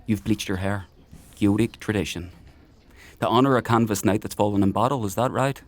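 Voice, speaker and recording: An actor playing a menacing, paranoid military paragon in a dark fantasy audio drama, mock-friendly and needling in his drawn-out questions. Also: lowish voice, natural voice. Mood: genial, probing.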